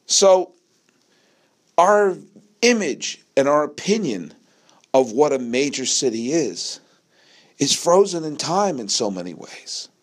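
A man's voice: a little high, big pitch swings, slow pace, long pauses. Emphatic American man talking sports. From New England, United States. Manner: emphatic, rhetorical questions, repeats phrases for effect. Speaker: sports podcast host. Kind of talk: opinionated solo sports monologue.

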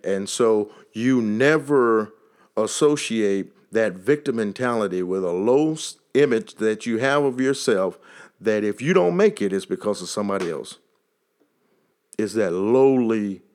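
A man's voice: fairly low pitch, darkish tone. A man with a Texas accent hosting a podcast and giving a motivational talk, repeating phrases and building emphasis like a preacher. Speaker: podcast host.

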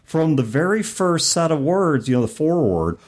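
A man's voice: a little high, sing-song, moderate pace, darkish tone. A middle-aged man hosting a podcast, talking conversationally and emphatically, often listing words one by one for effect. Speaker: podcast host. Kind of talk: conversational commentary on tabletop gaming.

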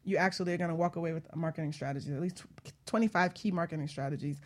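A woman's voice: fairly low pitch, racing. A woman hosting a casual radio talk show, chatting informally and promoting things as she goes.

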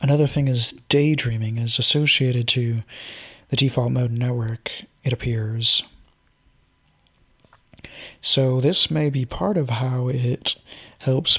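A man giving an unscripted explanatory monologue, speaking in a thoughtful, speculative way with frequent pauses and ums. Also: medium pitch, fairly bright tone, slightly rough.

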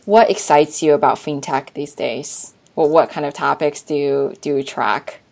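A woman hosting an interview, asking questions in a measured, encouraging way. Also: sits low, fairly even intonation, vocal fry, a little mellow. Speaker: interviewer host.

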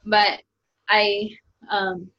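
A chatty woman from Japan speaks English with a Japanese accent, her pitch sitting mid-range for a woman. She talks lively and loose, with plenty of "like" fillers. The audio is dull and lo-fi. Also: drawn-out words. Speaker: author guest. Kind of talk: casual interview talk.

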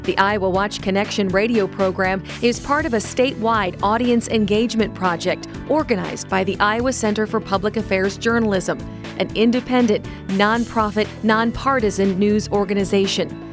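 A woman's voice: medium pitch, normal speed. A woman reading a scripted station announcement in a polished, formal way. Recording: announcement with music bed.

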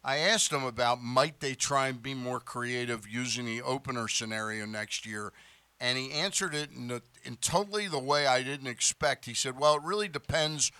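A man's voice: medium pitch, normal speed, bright, forceful tone, no echo. A man hosting a sports radio show, talking casually and offering his opinions on baseball in a conversational way.

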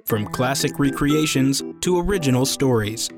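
A man's voice: mid-range voice, lively intonation, moderate pace. A man reading a scripted radio theater introduction as announcer. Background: music.

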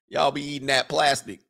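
A man's voice: fairly high pitch, creaky, a bit bright. A man hosting a livestream, rambling casually, reading chat comments aloud and laughing often. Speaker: livestream host.

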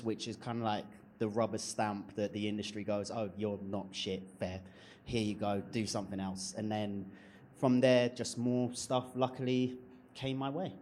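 A man's voice: mid-range voice, a little mellow, slight background noise. A male writer and comedian on a live panel, talking bluntly and joking, with frequent swearing. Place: event stage with audience.

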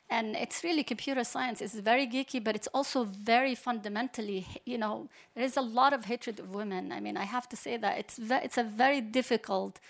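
Creaky-voiced woman panel guest. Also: a little high. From Palestine. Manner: hesitant, many restarts and fillers. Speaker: panel guest, computer science professor. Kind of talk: unscripted panel talk.

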